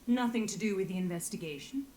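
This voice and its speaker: Woman playing a blunt, animated female marshal. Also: mid-range voice, fast talker, slight background noise, close to an everyday voice. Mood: probing. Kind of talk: video game voice acting.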